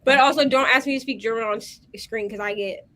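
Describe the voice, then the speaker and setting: A woman with a high, bright-toned voice tells stories in an animated, chatty way, filling her sentences with "like." She co-hosts a relaxed conversation over a video call. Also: fast talker. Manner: animated storyteller, heavy on "like" fillers. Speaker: co-host. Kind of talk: casual chat on a video call.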